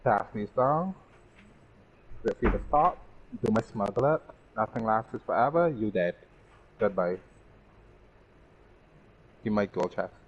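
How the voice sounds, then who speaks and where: A man with a fairly low voice plays and comments casually, addressing himself and the characters as he goes. His mic sounds a little dull, with faint noise behind him. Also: lively intonation, slow, pauses often. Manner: talks to himself and the game characters. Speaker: gaming streamer. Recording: band-limited mic with faint background noise.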